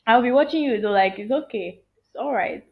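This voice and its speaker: A woman's voice: on the high side, fairly quick. A young woman guest on a podcast, chatting casually and acting out her own reactions. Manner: animated storyteller, quotes herself often. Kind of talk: casual chat. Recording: dull lo-fi audio.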